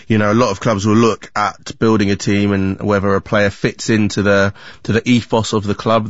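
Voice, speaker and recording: Low-voiced male broadcast interviewer, conversational. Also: dry recording. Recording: dull lo-fi audio.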